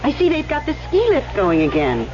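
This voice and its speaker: A woman's voice: fairly high pitch, wildly swooping pitch, fairly quick. An actress playing a pointed, coaxing young woman at a resort in a 1970s radio drama. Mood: casual, coaxing. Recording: dull, band-limited 1970s radio recording.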